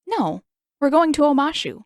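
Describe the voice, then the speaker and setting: An eager, playful young boy, as a woman reader puts him on, pitched well above her narrating voice and bubbling with enthusiasm. He sounds bright and excited in a storybook reading. The recording is dry and close. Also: normal speed, dry recording.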